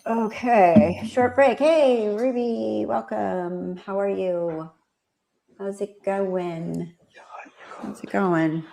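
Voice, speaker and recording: Chatty American woman with gravelly fry. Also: mid-range voice, very slow. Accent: General American. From United States. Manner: chatty, animated, rambling. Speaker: livestream host. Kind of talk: casual livestream chat.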